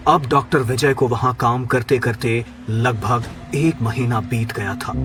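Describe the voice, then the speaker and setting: A man reading a scripted horror story as narrator, with music behind him. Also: mid-range voice. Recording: music under the voice. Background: background music.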